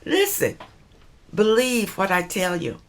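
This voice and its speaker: Woman, middle-aged, unhurried delivery, pitch rising and falling a lot, preachy and emphatic. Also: huge pitch swings. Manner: emphatic and preachy, repeats phrases for stress, addresses "ladies". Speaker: relationship advice channel host. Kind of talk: spoken advice monologue, reading viewer letters.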